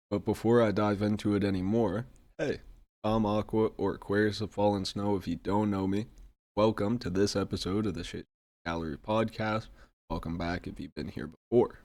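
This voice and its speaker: A man with a hoarse throat, getting over an illness, hosting a solo podcast in a reflective, rambling way. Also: on the low side, fairly even intonation, moderate pace, darkish tone. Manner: reflective, rambling, many fillers. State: hoarse, recovering from a sore throat. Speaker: podcast host. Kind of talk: casual solo podcast talk.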